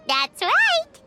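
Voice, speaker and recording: A tiny, excitable fairy companion from a video game. The squeaky, childlike voice is extremely high and swoops wildly, yet takes its words slowly. Heavy noise is behind it. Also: female voice, heavily acted. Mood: delighted. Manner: childish, refers to itself in third person. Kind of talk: video game character acting.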